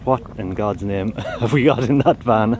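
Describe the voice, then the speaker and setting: A man's voice: on the low side, normal speed, background noise. An Irish man reporting on location, asking chatty, jokey questions. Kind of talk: outdoor interview.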